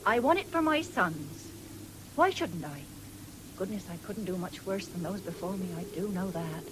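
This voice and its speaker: A woman's voice: mid-range voice, lively intonation, moderate pace, natural voice. An actress playing a proud, firm, plain-spoken English farm wife and mother in a radio drama. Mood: bitter, impassioned. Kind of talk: 1970s British radio drama acting. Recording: noisy old radio broadcast recording.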